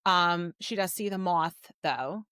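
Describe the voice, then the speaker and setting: A woman's voice: on the low side, takes it slowly, pushed and bright, smooth, no room sound, clean studio sound. A woman co-hosting a book podcast, retelling a story in a chatty, informal, emphatic way. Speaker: podcast co-host. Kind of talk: casual book discussion recapping a story.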